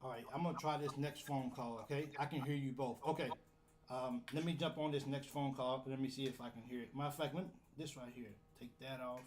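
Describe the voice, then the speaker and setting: A man's voice: medium pitch, steady tone, brisk, a little hiss or hum. A man hosting a live fan call-in show, casual and flustered while fixing his audio setup. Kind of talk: casual live-stream chat.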